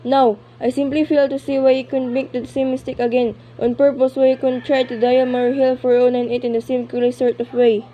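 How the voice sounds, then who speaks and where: A woman's voice: sits high, flat delivery, a little fast, hardly any pauses, dull audio, a little hiss or hum, close to an everyday voice. A woman playing a nervous, imperious married woman in a radio play, speaking in a fretful, emphatic way. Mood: indignant, demanding. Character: nervous, demanding, sickly married woman alone at home.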